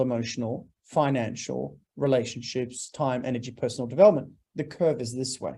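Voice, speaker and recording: Forties male guest from Norway, mellow tone, slightly higher pitch, earnest and preacherly. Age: 40s. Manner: earnest, preacherly, emphatic lists. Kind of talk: interview, motivational talk.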